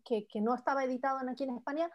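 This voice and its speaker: A chatty Argentine woman speaks a little high over a video call link. She is friendly and loose, often restarting and looping back mid-sentence as she hosts a book club chat. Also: racing, muffled recording. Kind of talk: casual chat.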